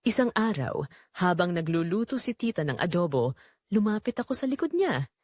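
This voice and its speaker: A woman reading a scripted first-person dramatic story in a measured, expressive storytelling manner. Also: fairly low pitch, dry recording, studio quality. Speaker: narrator.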